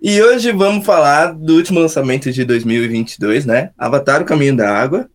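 A man co-hosts a casual podcast and sounds upbeat as he opens the show. His voice sits a little higher than most men's. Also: lively intonation, a little fast. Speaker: podcast co-host.

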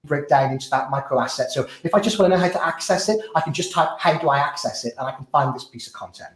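A man demos software at a brisk clip, leaning on "you know" between every thought. His voice sits a touch high and bounces around a roomy, echoey space. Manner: quick, peppered with "you know". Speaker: software vendor giving a product demo. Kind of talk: screen-share product walkthrough.

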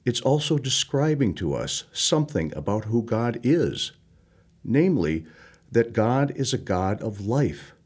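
A man's voice: medium pitch, big pitch swings, unhurried, darkish tone, breathy edge. A man reading scripture and a written devotional reflection from a script. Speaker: devotional reader.

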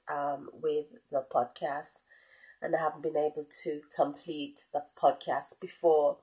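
A middle-aged woman hosting her own podcast, talking casually with a head cold and many pauses and ums. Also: low-pitched, a little mellow, rough recording. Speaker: podcast host. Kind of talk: casual solo podcast talk.

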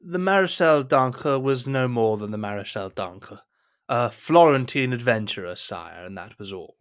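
Male actor as insinuating cardinal for period audio drama: mid-pitched, courtly, formal, richly varied intonation. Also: animated, moderate pace, close to an everyday voice. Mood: measured, sly. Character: smooth, scheming, deferential cardinal and royal adviser.

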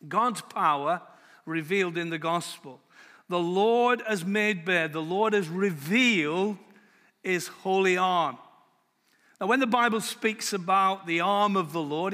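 Man preaching, loud and bright, drags out the bible verses slow, church echo. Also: high-pitched. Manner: loud, slow emphatic scripture reading. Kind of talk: sermon.

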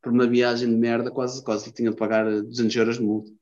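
Um homem de Lisboa, com sotaque lisboeta, numa conversa descontraída, cheia de calão e palavrões e a divagar. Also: male voice, mid-range voice, flat delivery, quick pace, a little mellow. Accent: Lisbon Portuguese. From Lisbon, Portugal. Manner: slangy, swears a lot, rambling. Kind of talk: casual chat. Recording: dull lo-fi sound.

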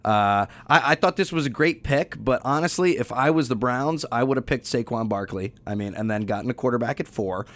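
A man's voice: medium pitch, brisk, hardly any pauses. A man talking football in a casual debate, rambling and often restarting his sentences. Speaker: sports podcast co-host.

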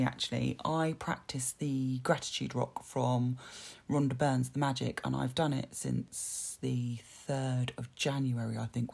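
A woman hosting a motivational self-help podcast, talking earnestly with a hoarse, dry voice, repeating words and using fillers as she goes. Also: rumbling low, darkish tone. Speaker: podcast host.

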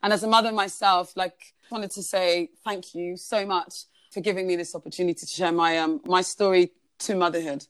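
A woman's voice: on the low side, steady tone, moderate pace, a bit bright, clear, resonant tone, dry recording. A woman, telling her story as a podcast guest in a chatty way, with many fillers and restarts. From Uganda. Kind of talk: casual conversational storytelling.

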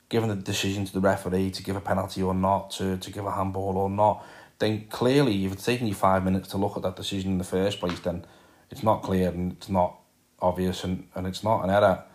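A man with a Liverpool accent hosting his own podcast, chatting casually and rambling with frequent ums and repeated words. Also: sits low. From Liverpool, England. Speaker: podcast host. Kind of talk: casual solo podcast talk.

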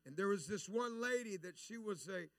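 A man's voice: sits high, expressive, moderate pace, no echo. A man, a guest preacher whose first language is Spanish, delivering a Pentecostal sermon and dropping "amen" and "praise God" between his sentences. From El Salvador.

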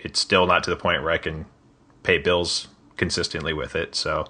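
A man's voice: very deep voice, flat delivery, moderate pace, pushed and bright, slight background noise. A man hosting a podcast interview in a casual, hesitant way, often restarting his sentences with small laughs. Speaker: podcast host.

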